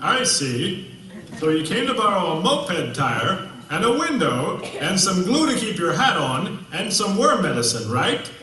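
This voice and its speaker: A man's voice: sits high, very animated, measured pace, bright, forceful tone, full character voice. A man playing a cheerful, folksy, jovial husband puppet in a comedy skit, with exaggerated reactions. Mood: welcoming, chatty. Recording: echoey room, distant mic.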